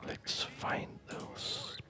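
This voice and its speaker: Gamer for ASMR playthrough: breathy whisper throughout, very slow, self-murmured commentary. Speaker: gamer narrating own play.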